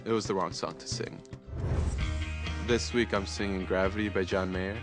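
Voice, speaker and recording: Low-voiced young man, native Korean speaker. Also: fairly even intonation, normal speed, over music. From born in Chicago, partly raised in Korea. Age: young adult. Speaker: singing contestant. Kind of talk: personal interview for a TV profile.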